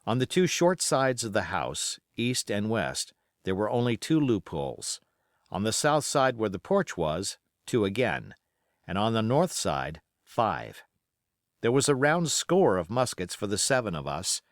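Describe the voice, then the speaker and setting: A man reading literary narration as an audiobook, expressive and measured in his storytelling. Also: on the low side, dry recording, studio quality.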